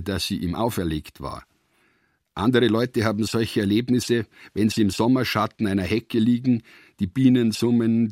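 Low-pitched male literary narrator, very dry. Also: darkish tone, no room sound.

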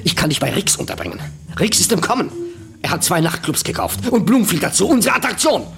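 A chummy, boastful gangster boss in a vintage radio drama. His voice swings widely in pitch as he talks on in rhetorical questions, self-important and a little uneasy. Faint music sits behind him. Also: very animated, brisk, creaky, exaggerated voice. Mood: nervous bargaining. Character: talkative American gangster boss, chummy, boastful, bargaining nervously. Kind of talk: 1960s German radio play acting.

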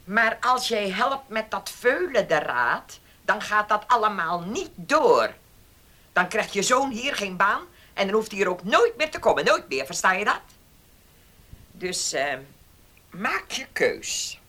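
An actress playing a domineering, scheming farm mistress in a Dutch radio play, commanding and condescending. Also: female voice, medium pitch, sing-song, bright, forceful tone, exaggerated voice. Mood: coldly threatening. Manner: commanding, condescending, deliberate threats.

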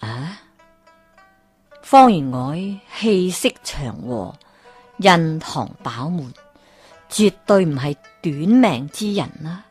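A woman voicing a wise male feng shui master who mutters to himself in a hushed, puzzled way, in a storybook telling. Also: sits low, sing-song, slow pace, long pauses, slightly rough, dry recording, soft background music, pitched below the performer's own voice, exaggerated voice.

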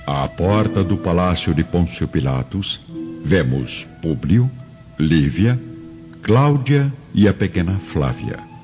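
A man's voice: sits low, expressive, slow pace, dull audio, music in the background. A man with a Brazilian accent narrating a radio drama in a scripted, theatrical style.

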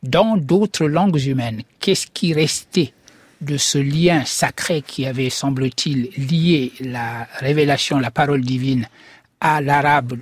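Husky, professorial male scholar. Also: mid-range voice, dry recording. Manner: measured, professorial explaining. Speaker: guest scholar. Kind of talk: explanatory interview answers.

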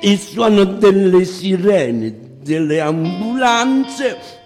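An actor playing a cynical, ruthless elder statesman in a theatrical monologue, deliberate, with pauses and muttered hums. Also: sits high, expressive, a little mellow, heavily acted. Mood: coldly menacing. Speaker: stage actor. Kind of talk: theatrical monologue over live guitar. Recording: long reverb, guitar music behind.

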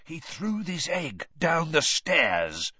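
A man's voice: on the high side, huge pitch swings, slow, bright, forceful tone, breathy edge, dry recording, muffled recording, pitched above the performer's own voice, heavily acted. A man voicing a spiteful, wheedling old male caretaker who whines malevolently. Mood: whining. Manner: whining and malevolent.

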